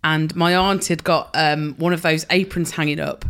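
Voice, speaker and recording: Lower-voiced woman, relaxed chat. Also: moderate pace, clear, resonant tone. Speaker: podcast co-host. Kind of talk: casual pub-style chat.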